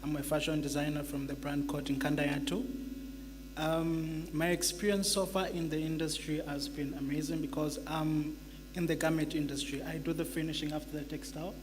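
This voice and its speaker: A man from Zambia, a fashion designer, speaking earnestly on a conference panel with some pauses. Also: on the high side, level tone. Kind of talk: panel introduction and remarks. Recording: reverberant conference hall with faint hum.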